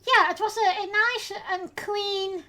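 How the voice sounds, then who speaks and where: A woman's voice: very high voice, steady tone, measured pace, bright, forceful tone. A woman with a Dutch accent, a native Dutch speaker, hosting a casual podcast and talking hesitantly with many filler sounds. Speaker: podcast host.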